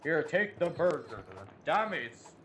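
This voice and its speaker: A man's voice: a little high, lively intonation, unhurried, a crowd in the background. A young man chatting casually and crudely with a friend as they play a video game together. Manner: crude, profane, joking. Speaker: gamer in co-op let's play. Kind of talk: casual gaming chat with a friend.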